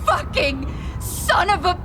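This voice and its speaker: A woman's voice: very high-pitched, very animated, slow pace, very noisy, full character voice. An actress playing a bitter, unhinged young woman killer who screams and sobs through laughter. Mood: raging, tearful. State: crying. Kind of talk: audio drama acting.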